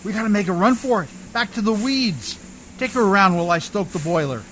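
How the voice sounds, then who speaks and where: A man's voice: high-pitched, lively intonation, fairly quick, bright, forceful tone, slight background noise. A man playing a scrappy, good-hearted riverboat captain in an old-time radio drama, with folksy, ungrammatical working-man speech. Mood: alarmed, urgent.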